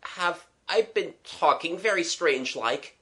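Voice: medium pitch, lively intonation, measured pace, bright, forceful tone, voice pushed up. A reader voicing a flustered working-class young man, gasping, agitated and apologetic, in a storybook. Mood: bewildered, agitated.

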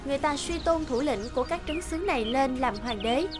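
Woman, fairy tale narrator, very high pitch, scripted delivery, music clearly behind. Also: lively intonation, a little fast.

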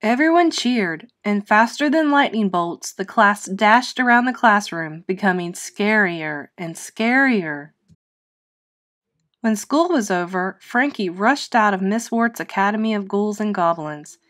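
A woman reading a children's storybook aloud, narrating expressively and deliberately. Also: medium pitch, lively intonation, a bit bright. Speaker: storybook reader.

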